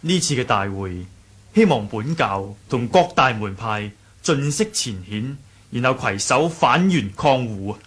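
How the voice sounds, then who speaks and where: An actor playing an earnest, modest young martial sect leader in a radio drama, measured and courteous, with a Hong Kong Cantonese accent. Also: male voice, medium pitch, animated, a bit bright, breathy edge. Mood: modest, resolute. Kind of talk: 1980s radio drama acting.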